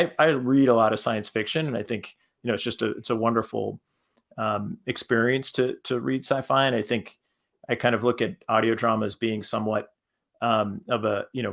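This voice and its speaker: A man gives his thoughts as a panelist in a low, creaky voice. He talks casually and haltingly, circling back over phrases as he goes. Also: a bit bright. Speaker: panelist, podcast creator.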